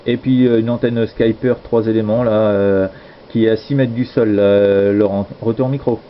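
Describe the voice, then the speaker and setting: A man with a fairly low voice chats casually as an amateur radio operator. He hesitates and circles back over his words, often trailing off into little tags. The recording is dull, with some noise underneath. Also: moderate pace. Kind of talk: casual radio contact chat. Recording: dull lo-fi audio with steady noise.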